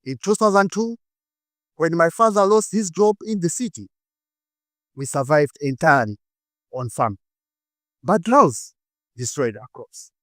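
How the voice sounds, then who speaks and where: A young Malawian man with a Malawian English accent gives a rehearsed inspirational talk on stage, cutting his lines into short phrases with dramatic pauses. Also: on the high side, expressive, no echo, studio quality. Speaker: speaker giving a stage talk.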